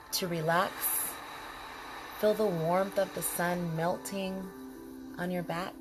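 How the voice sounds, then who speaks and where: A woman leading a guided body scan meditation in a calm, soothing manner, speaking in short, unhurried phrases with pauses. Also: fairly low pitch. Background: music behind the voice.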